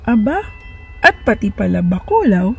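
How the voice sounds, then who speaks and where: A woman's voice: mid-range voice, very animated, normal speed, vocal fry, recorded from a distance, muffled recording, soft background music. A woman playing a doting, inquisitive mother talking to her small child in a storybook. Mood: curious. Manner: inquisitive, indulgent.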